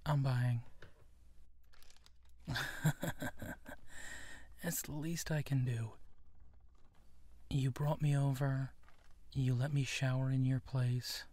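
A young man speaks softly in a romantic ASMR roleplay, slipping often into whispers. His delivery is slow and halting, shy and tender. The sound is dry and close, with no room around it. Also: medium pitch, flat delivery, no room sound. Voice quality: soft-spoken, often whispering. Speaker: audio roleplay performer.

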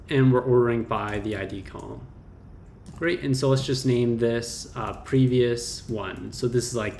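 A man's voice: mid-range voice, a little mellow. A young man casually walking through a coding problem, thinking aloud with fillers and small self-corrections as he types. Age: young adult. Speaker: coding tutor. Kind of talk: casual screen-share coding walkthrough. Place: small indoor room. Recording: roomy echo, faint keyboard noise.

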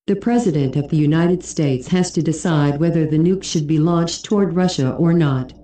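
A woman presents a scripted news report as anchor. Her voice is very low and dark, with a noticeable echo around it. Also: moderate pace.

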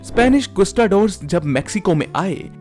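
A man's voice: high voice, expressive, brisk. A man narrating a factory documentary as a scripted voice-over, explaining step by step and calling the viewers his friends. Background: soft background music.

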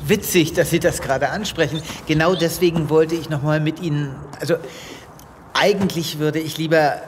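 An actor playing an eccentric, earnest space veterinarian in a comedic sci-fi audio drama, with a dramatic, quirky delivery. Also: male voice, a little high, fairly even intonation, moderate pace, slight background noise, close to an everyday voice. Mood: sheepish. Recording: loud ambience and sound effects behind.

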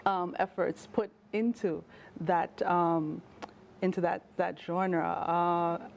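A woman in her thirties with a Jamaican accent, a travel journalist talking as a guest in a TV studio interview, animated and chatty with fillers and restarts. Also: fairly low pitch, takes it slowly, vocal fry, lo-fi sound, slight background noise. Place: TV news studio. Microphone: lapel mic.

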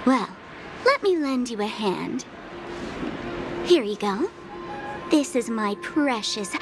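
High, playful trickster girl. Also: background noise, full character voice. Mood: mocking. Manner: sing-song, mocking, coy. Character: playful, teasing, dangerous young trickster woman. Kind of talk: anime-style game voice acting.

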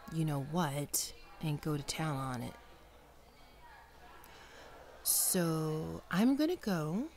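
A woman's voice: on the low side, creaky, a little mellow, slight background noise. A woman playing a friendly young female ghoul best friend in an ASMR roleplay, chatty and playful. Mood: hesitant, awkward. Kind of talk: ASMR roleplay, acted one-sided dialogue.

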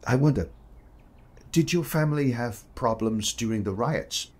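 Kindly, husky-voiced photographer. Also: male voice, mid-range voice, animated, unhurried, a little mellow. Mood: sombre. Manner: polite, reassuring, slightly hesitant. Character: kindly, modest male portrait photographer. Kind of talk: period audio drama acting.

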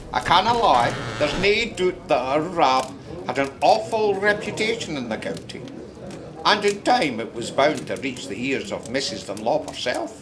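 Wry Scottish narrator for radio drama: sociable, musing, hugely varied intonation, clear ambient noise behind. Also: male voice, a little high. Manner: chatty, musing storyteller. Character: wry, sociable Scotsman reminiscing about a poet friend.

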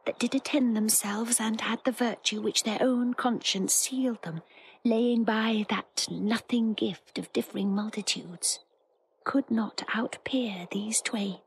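Slow, wistful disguised princess. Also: female voice, on the high side, fairly even intonation, gravelly, a little hiss or hum, rough recording. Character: gentle, sorrowful young princess disguised as a boy. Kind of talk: theatrical Shakespearean verse acting.

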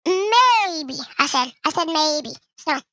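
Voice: sits high, expressive, takes it slowly, bright, forceful tone, dry recording, highs cut off. A young gamer giving casual gameplay commentary, with the voice run through a voice changer. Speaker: gaming video creator.

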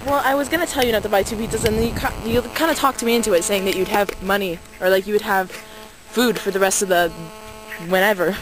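A woman's voice: mid-range voice, fast talker. Young woman bantering w friends, slangy, filming outside on a camera, noisy. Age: young adult. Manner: playful teasing, slangy. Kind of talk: casual chat among friends. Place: outdoor park by a pond, windy and cold. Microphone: handheld camera at arm's length. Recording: noisy outdoor handheld camera audio.

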